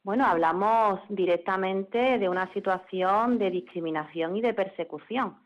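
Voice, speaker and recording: Woman lawyer on radio, lowish voice, kinda muffled dull sound, explains a lot, restarts sentences. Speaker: lawyer, interview guest. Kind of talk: radio interview.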